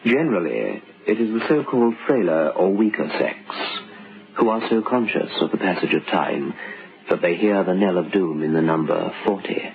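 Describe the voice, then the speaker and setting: An actor as a sardonic, ominous storyteller, narrating an old-time radio drama with measured, dramatic pauses. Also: male voice, sits low, expressive, creaky. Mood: dry, ominous. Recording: muffled, faintly noisy old radio recording.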